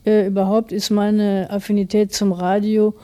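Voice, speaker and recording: Female interviewee, forties, writer, soft dark tone, medium pitch, explanatory talk. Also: little pitch movement, moderate pace. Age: 40s.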